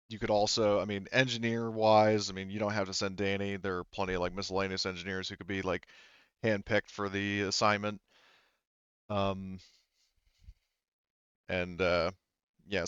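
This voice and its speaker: A man explaining things as a tabletop game master, halting and thinking aloud in a casual way, on slightly dull audio. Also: on the low side, clear, resonant tone, dry recording.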